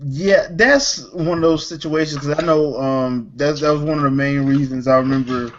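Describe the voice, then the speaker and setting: A man's voice: a little high, fairly even intonation, normal speed, dull audio, a little hiss or hum. A man hosting a casual gaming chat in a slangy, easygoing, joking way. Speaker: podcast host.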